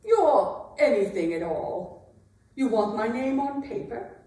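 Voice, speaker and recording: A woman playing a weary, wounded, sharp-tongued medieval queen in a dramatic staged reading. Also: fairly high pitch, very animated, slow. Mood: desperate surrender. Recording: very echoey room, distant microphone.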